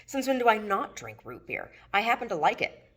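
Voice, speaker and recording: A woman's voice: medium pitch, big pitch swings, normal speed, a bit bright, husky, pitched above the performer's own voice, heavily acted. A woman reading the lines of a casual, bantering, mildly stubborn male friend in a novel. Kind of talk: acted dialogue in a novel reading.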